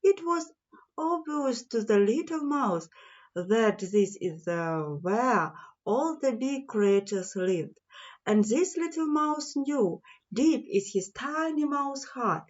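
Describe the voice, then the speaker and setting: A woman's voice: on the high side, expressive, slow pace, darkish tone. A woman narrates a fairy tale for young listeners. She takes her time, stretching out key words for emphasis. The audio is a little dull and lo-fi. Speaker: children's audiobook reader.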